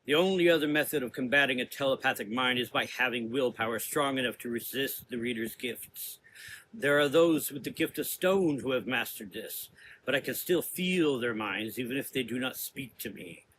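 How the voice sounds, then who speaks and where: Guy doing a menacing gothic sorcerer for an audio drama, bright forceful voice, slow smug lecturing, noisy background. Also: mid-range voice, close to an everyday voice. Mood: accusing. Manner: deliberate, lecturing, drawn-out phrases. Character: menacing, cerebral male gothic sorcerer, taunting and sure of himself.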